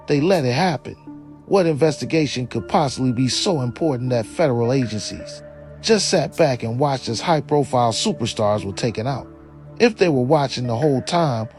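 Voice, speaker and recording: A man's voice: medium pitch, darkish tone. A man giving scripted true-crime commentary as a video narrator, in an opinionated, slangy manner with some profanity. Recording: voice-over with faint music behind.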